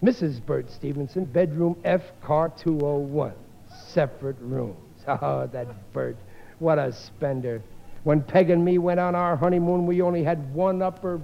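An American actor playing a middle-aged, blustering, soft-hearted family man in a 1950s radio comedy, speaking in exasperated, ungrammatical style. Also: a little high, fairly even intonation, unhurried, vocal fry. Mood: musing. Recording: muffled, noisy 1950 radio recording, hall echo.

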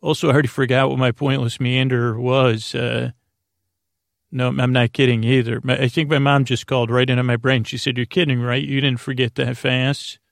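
A man with a creaky voice hosting a bedtime podcast in a soothing, rambling, self-deprecating monologue that wanders off easily. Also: medium pitch, little pitch movement, moderate pace, dry recording, clean studio sound. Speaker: podcast host.

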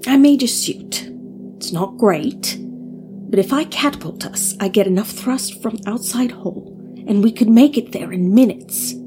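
A woman's voice: a little high, expressive, a little slow, background noise, voice pushed up. A woman voicing a tender, protective young female contortionist who pleads with urgency. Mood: urgent.

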